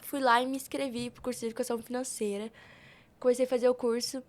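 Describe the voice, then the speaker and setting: A young adult woman with a Brazilian Portuguese accent, telling stories casually on a podcast in an animated, informal way. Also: a little high, fast. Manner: animated, informal, acts out quoted speech. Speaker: podcast guest, youth institute member.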